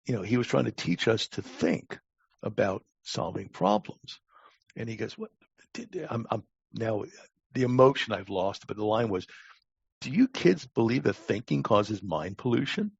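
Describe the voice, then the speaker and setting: An older man with an American accent giving reflective interview answers as a guest, halting and repeating words as his thoughts form. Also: lowish voice, gravelly, husky, dry recording, lo-fi sound. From Long Island, United States. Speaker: podcast guest, talent acquisition advisor.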